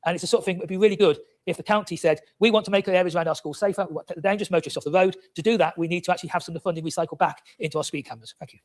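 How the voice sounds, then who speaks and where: Brief: councillor, high voice, rattles through a formal debate point very fast on a distant chamber mic. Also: male voice. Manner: hurried, formal debate delivery. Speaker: local councillor. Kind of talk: council meeting speech.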